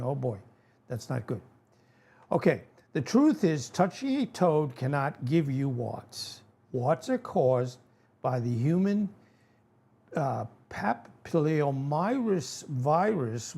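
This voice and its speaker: A man's voice: fairly high pitch, gravelly, darkish tone. Rambling older Rhode Island man. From New England. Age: 70s. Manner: rambling, folksy, frequent fillers and self-corrections. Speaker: channel host. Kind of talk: casual educational talk to camera.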